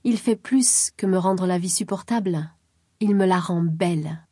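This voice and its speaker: A woman calmly reading a first-person life story aloud as scripted narration. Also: fairly low pitch, a little slow, slightly rough, dry recording, studio quality. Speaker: reader of a first-person article.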